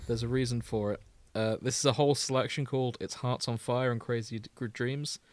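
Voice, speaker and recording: A man's voice: mid-range voice, steady tone, brisk, no echo. A man hosting a podcast in casual banter, chatty, teasing and wry, sometimes reading fan fiction aloud. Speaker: podcast host.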